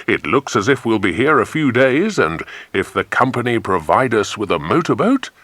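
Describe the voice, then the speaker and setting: A man's voice: mid-range voice, big pitch swings, moderate pace, fairly bright tone, no echo. A man voicing a genial, easygoing grown man who leads a group of children, hearty and considered, in a children's audiobook. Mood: amused, agreeable. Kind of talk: dramatized children's audiobook dialogue.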